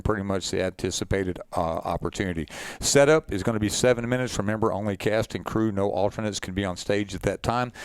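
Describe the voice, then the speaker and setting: A man's voice: on the low side, clear, resonant tone, dry recording. A man with a folksy, plain-spoken way, leading an informal instructional briefing as host.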